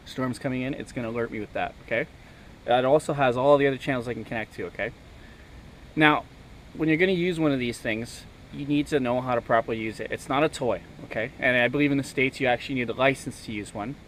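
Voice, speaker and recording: A man's voice: medium pitch, fairly bright tone, a little hiss or hum, poor audio. A man giving an informal instructional demonstration, often checking in with "okay?" as he goes. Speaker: presenter, kayak angler.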